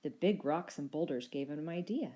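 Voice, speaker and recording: Low-voiced woman reading a children's story. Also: a little fast, mellow. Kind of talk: expressive children's story narration.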